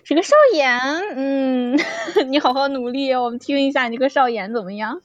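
A woman's voice: high voice, moderate pace, highs cut off. A woman voice actor chatting casually with castmates in a teasing, gushing, encouraging way. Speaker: voice actor in an audio drama. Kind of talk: casual group chat at an audio drama cast event.

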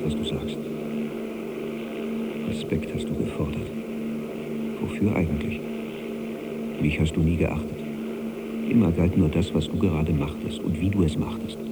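An actor playing a brooding, restless and weary husband in a radio drama, with an understated manner. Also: male voice, sits low, fairly even intonation, normal speed, long pauses, very noisy, lightly acted. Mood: bitter.

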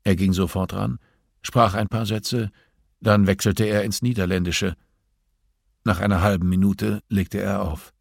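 A man's voice: fairly low pitch, steady tone, unhurried, creaky, no room sound, clean studio sound. A man reading scripted narration as the narrator of a thriller audiobook.

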